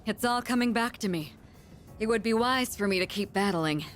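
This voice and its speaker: Woman as young team commander, mid-pitched, formal, measured, authoritative, anime game dub, faint music behind. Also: natural voice. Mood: serious.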